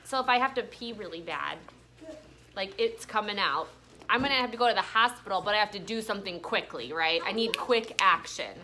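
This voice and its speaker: A woman's voice: medium pitch, brisk. A woman teaching an elementary class, explaining words and reading aloud in an interactive way, often prompting with questions. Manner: prompting with questions and check-ins. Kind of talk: interactive classroom vocabulary lesson and read-aloud. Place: classroom with pupils.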